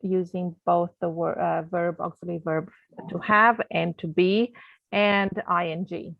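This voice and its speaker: A woman's voice: fairly low pitch, fairly even intonation, measured pace, vocal fry. A woman teacher from Canada, whose first language is not English, explains grammar patiently, with many fillers and restarts. Her voice comes through a tinny, phone-like video call. The sound is dry, with no room echo. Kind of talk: online grammar lesson.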